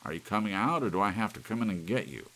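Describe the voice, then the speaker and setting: Man acting a bitter, menacing husband, pitched up. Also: quick pace. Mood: menacing, taunting. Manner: demanding, taunting, emphatic. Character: bitter, menacing husband talking angrily to his clock. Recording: steady rain and hiss behind.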